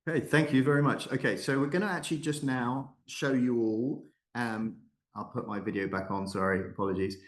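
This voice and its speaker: A man's voice: medium pitch, fairly even intonation, fairly quick. A man hosting an online class, handing over and narrating a video casually. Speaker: presenter and host.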